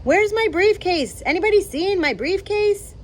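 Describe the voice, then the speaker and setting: A woman voicing a flustered storybook father who calls out loudly and urgently. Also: extremely high pitch, expressive, normal speed, a little hiss or hum, pitched above the performer's own voice. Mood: frantic. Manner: loud, urgent calling out. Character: flustered, hurried family father.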